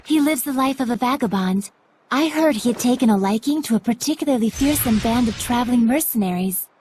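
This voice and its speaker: An actress playing a gentle, prim, devout female spirit in a video game, with proper, formal diction. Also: a little high, animated, a little fast, a little hiss or hum, lightly acted. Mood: wondering, earnest. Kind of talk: English video game dub acting.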